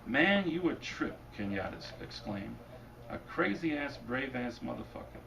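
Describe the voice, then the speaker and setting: A man reading his fiction aloud at a bookstore event, deadpan and wry, and losing his voice. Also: medium pitch, a little slow, gravelly, muffled recording, crowd noise. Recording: distant mic, noisy room. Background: audience laughter.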